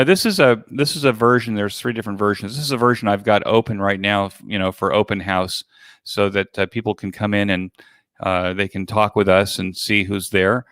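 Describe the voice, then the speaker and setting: A man gives a conversational walkthrough as the guest in an interview. His voice is on the low side and very clean and smooth. He explains things step by step, and the sound is dry and close. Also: a bit bright, no echo. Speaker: interview guest, online event organizer.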